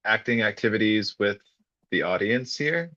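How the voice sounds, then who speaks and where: A fairly low male voice that comes through dull and lo-fi, as if over a video call. He hosts casually and feels his way through sentences with lots of "uh"s, chuckling often. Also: lively intonation, normal speed, sharp tone. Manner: hesitant, many fillers, laughs easily. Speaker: host of an online book club. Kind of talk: casual live video chat.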